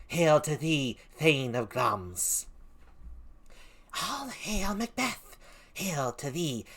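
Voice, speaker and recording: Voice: medium pitch, sharp tone, slightly rough, exaggerated voice. A reader voicing the weird sister witches, chanting spells with sing-song swings and sudden whispers. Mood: ominous greeting.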